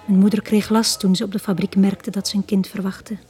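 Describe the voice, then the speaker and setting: A woman's voice: mid-range voice, little pitch movement, brisk, dark tone, soft background music, natural voice. An actress playing a wistful woman confiding her past in a radio drama, with a Flemish accent and an intimate, near-whispering delivery. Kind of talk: 1980s Dutch-language radio drama acting.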